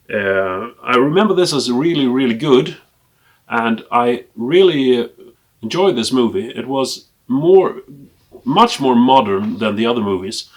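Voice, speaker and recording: A man from Sweden with a Swedish accent, a native Swedish speaker, casually showing off a collection in English in a hesitant, halting way with trailing asides. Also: mid-range voice. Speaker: film collector presenting a collection. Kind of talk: casual unscripted show-and-tell.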